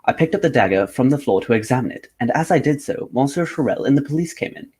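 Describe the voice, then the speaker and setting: Radio-play gentleman detective, played by a man: composed, formal, fast-talking, with a husky edge. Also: mid-range voice, lively intonation. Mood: composed explaining. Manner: formal, unruffled. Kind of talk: old-time radio drama acting.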